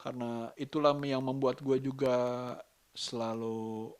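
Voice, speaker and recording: A man from Indonesia talks casually to camera as a vlogger, musing and halting, drawing out some words. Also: medium pitch, fairly even intonation, smooth, no echo. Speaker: vlogger, host of a daily vlog. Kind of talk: casual vlog monologue to camera.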